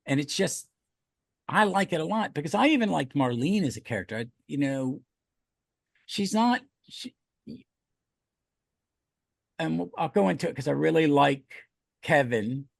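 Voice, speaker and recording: A man's voice: a little high, expressive, normal speed, gravelly, pauses often, dry recording, clean studio sound. A man hosting a podcast in casual review chat, talking in a rambling, enthusiastic way. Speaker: podcast host.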